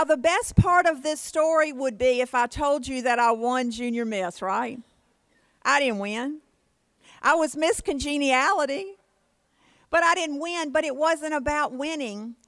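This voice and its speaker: A woman's voice: high-pitched, a bit bright, no echo. A woman giving a motivational conference keynote with personal stories, in a plain-spoken, folksy way. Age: 50s. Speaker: keynote speaker.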